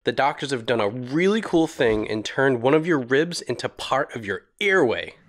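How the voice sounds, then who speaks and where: A man's voice: mid-range voice, expressive, normal speed. A man narrating a children's educational cartoon from a script, explaining things simply and reassuringly.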